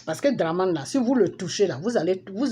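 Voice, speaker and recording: A woman's voice: mid-range voice, animated, brisk. Scolding Ivorian woman, noisy recording. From Ivory Coast. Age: middle-aged. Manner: forceful, scolding, repeats phrases for emphasis. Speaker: political commentator. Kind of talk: impassioned political monologue addressed to public figures. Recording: noisy amateur recording with background ambience.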